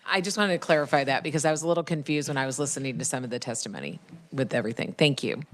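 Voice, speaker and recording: Woman legislator, loud voice, very low pitch, apologetic clarifying question. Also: very fast. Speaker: committee member. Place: legislative committee room.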